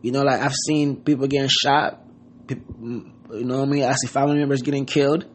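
A man's voice: medium pitch, flat delivery, brisk. A man from Haiti giving an earnest motivational talk, loosely worded and full of "you know what I mean.". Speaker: podcast host. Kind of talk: casual motivational monologue.

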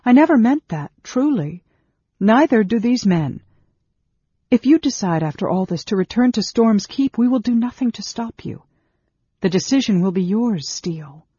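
A man acts out a middle-aged mother in a fantasy audiobook, anxious and pleading. The voice is lifted high with a slightly rough edge. Every line is earnest and imploring. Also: expressive, moderate pace, warm tone, dry recording, highs cut off. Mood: distressed, earnest.

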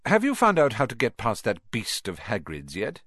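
Man doing a cold threatening teacher, icy, pitch moving around a lot. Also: wildly swooping pitch, normal speed, fairly bright tone, no room sound, studio quality. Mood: icy menace. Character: cold menacing male teacher.

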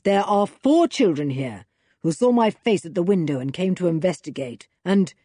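A woman's voice: fairly low pitch, animated, moderate pace, breathy edge, no echo, clean studio sound. A woman voicing an angry, indignant male scientist who has been kidnapped, in a storybook reading. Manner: indignant and forceful. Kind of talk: storybook character voice.

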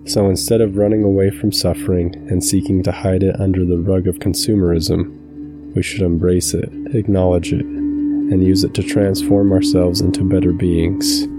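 A man guiding a meditation from a script in a calm, measured, soothing way. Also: sits low, music in the background. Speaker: meditation guide, channel host. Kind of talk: scripted guided meditation narration.

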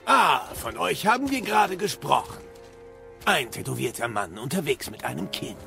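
An actor playing an ancient, boastful know-it-all captive in an audio drama, eloquent and sardonic. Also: male voice, a little high, sing-song, moderate pace, vocal fry, bright, forceful tone, soft background music, exaggerated voice. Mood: boastful, explanatory.

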